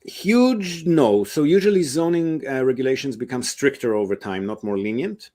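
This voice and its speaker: Man explaining property investing, mid-pitched. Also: expressive, moderate pace, dark tone. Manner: fluent, explains step by step with frequent ums and uhs. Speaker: real estate investment advisor, guest. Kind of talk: explanatory interview answers.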